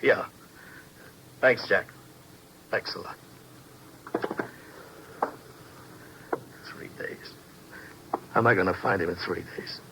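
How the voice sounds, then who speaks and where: A man's voice: low voice, unhurried. An American actor playing a desperate, bitter, down-on-his-luck operator in a 1950s radio drama, agitated and pleading in slangy tough talk. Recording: noisy old 1950s radio recording.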